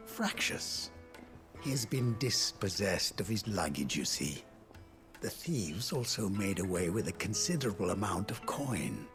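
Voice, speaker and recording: Courtly manservant, low and gravelly. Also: male voice, full character voice. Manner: courtly, formal, obliging, eloquent. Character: deferential, patient manservant to a fussy lord. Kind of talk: video game cutscene acting.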